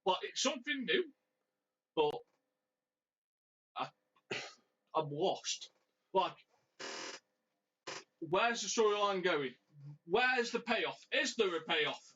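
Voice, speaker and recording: Guy with a bright punchy voice, asks lots of questions, giggles, muffled audio. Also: high voice, big pitch swings, takes it slowly, pauses often, husky. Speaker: podcast co-host. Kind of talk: casual wrestling chat. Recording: dull lo-fi audio.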